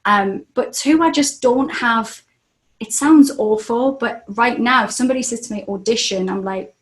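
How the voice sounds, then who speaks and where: A Geordie woman chats as an interview guest in a frank, outspoken way, swearing casually. Her voice sits a touch high for a woman. She talks in an easy conversational flow. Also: moderate pace. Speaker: podcast guest, actress.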